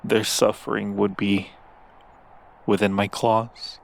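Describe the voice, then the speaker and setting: Male actor as seductive demon prince, lowish pitch, very unhurried delivery, menacingly tender, obsessive, ASMR roleplay. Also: level tone, gravelly. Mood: possessive, coaxing. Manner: slow, deliberate, menacingly tender.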